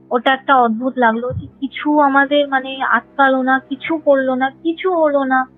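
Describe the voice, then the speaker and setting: Frightened woman on a tinny phone call. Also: high voice. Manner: fearful, earnest, rambling retelling. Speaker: caller telling a ghost experience. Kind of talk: phone-in storytelling. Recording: tinny phone line with faint music.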